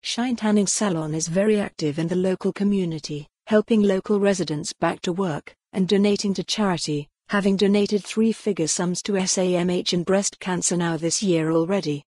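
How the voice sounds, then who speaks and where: Synthetic newsreader voice, slightly breathy, flat even delivery, reads every heading and link. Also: mid-range voice, normal speed, few pauses, dry recording, clean studio sound. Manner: steady and even, reads page links and headings out word for word. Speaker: news article reader. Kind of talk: reading a news article aloud.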